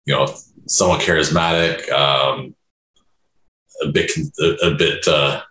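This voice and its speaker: A man in a focus group sharing his views over a video call, halting and full of fillers and restarts. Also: extremely low pitch, steady tone, bright, forceful tone, reverberant, a little hiss or hum. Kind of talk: casual opinion sharing.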